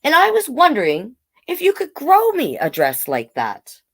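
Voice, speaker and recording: Woman voicing an earnest, high-pitched storybook child. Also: huge pitch swings, moderate pace, heavily acted. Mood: earnest pleading. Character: young child Bailey, earnest, hopeful dreamer of dresses. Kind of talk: children's storybook read-aloud.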